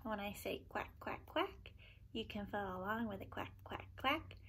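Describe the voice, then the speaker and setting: A woman in her thirties reading aloud to young children as a preschool teacher, phrasing simply and clearly. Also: mid-range voice, animated, moderate pace, bright, forceful tone, a little hiss or hum. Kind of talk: children's storytime read-aloud.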